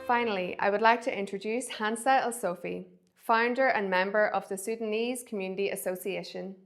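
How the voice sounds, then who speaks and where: A woman's voice: mid-range voice, fairly even intonation, a little fast, faint music behind. A woman hosting a rally, introducing speakers with thanks. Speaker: host. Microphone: video call.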